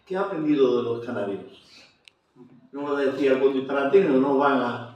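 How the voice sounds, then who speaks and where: A man's voice: medium pitch, normal speed, very echoey, distant mic, lo-fi sound. A man with an Andalusian Spanish accent hosting an evangelical TV interview, chatty and affirming, with frequent amens. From Spain.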